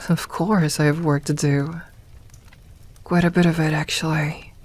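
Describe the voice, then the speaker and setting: Soft-spoken, commanding lamia queen, acted by a woman. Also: low-pitched, level tone. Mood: teasing, doting. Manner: soft-spoken, breathy murmurs slipping toward whisper, unhurried, formal. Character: evil lamia queen, doting, commanding, teasing mistress of an empire. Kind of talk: ASMR roleplay, intimate personal attention.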